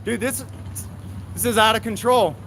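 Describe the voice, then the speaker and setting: A man's voice: sits high, moderate pace, fairly bright tone. A man speaking in an indignant, scolding, confrontational way as he livestreams on the street. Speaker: livestreamer filming police. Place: outdoors near a street. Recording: noisy outdoor street recording with traffic.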